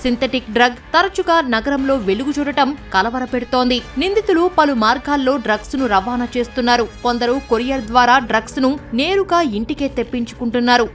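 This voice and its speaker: High-pitched woman reading news. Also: fast, hardly any pauses, a bit bright, recorded from a distance, soft background music. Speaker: news reporter narrator. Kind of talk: scripted TV news narration.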